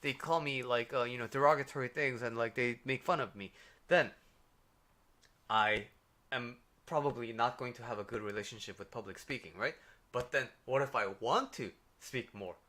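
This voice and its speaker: Man, Korean accent, life coach, livestream chat, mid pitch, loose conversational delivery. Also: moderate pace, bright, forceful tone. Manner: conversational, many fillers and "right?" tags. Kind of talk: casual livestream advice talk.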